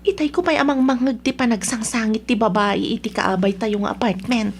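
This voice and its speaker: A woman with an Ilocano Filipino accent and a husky, breathy voice acting a concerned, curious daughter in an audio drama. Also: on the high side, brisk. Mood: curious, pitying. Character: concerned, curious neighbour, child of the household.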